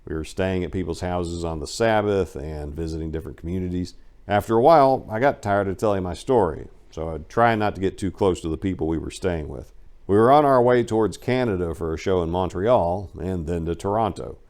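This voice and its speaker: A man giving a dramatic first-person reading of a memoir, telling it in a wry, animated storyteller's way. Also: sits low, brisk. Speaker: audiobook narrator.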